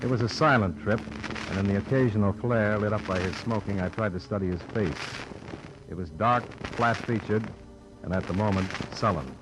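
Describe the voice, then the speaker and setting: Low-voiced hard-boiled American radio investigator, played by a man. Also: normal speed, noisy background, close to an everyday voice. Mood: dry, wry. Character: hard-boiled male insurance investigator, wry and tough. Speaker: detective lead and narrator. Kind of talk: 1950s American radio detective drama acting and narration.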